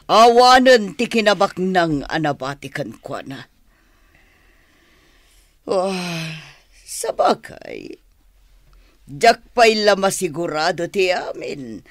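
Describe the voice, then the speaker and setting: Radio drama schemer: high voice, slow drawn-out musing, dry sound. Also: male voice, sing-song, fairly bright tone, dry recording, full character voice. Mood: musing, calculating. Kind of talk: Philippine radio drama acting.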